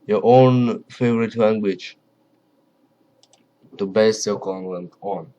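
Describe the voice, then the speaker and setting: A man with a Ukrainian accent, English not his first language, talking quietly and carefully to camera and often pausing to search for words. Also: lowish voice, muffled recording. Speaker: video creator. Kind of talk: casual talk to camera.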